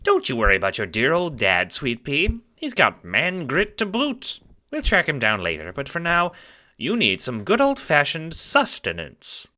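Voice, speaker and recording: A man's voice: a little high, huge pitch swings, a little slow, sharp tone, voice pushed up, heavily acted. A man voicing a kindly, playful elderly grandmother spirit with sweet, folksy, theatrical delivery in an audio drama. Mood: kindly, reassuring. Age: older. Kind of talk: audio drama character acting.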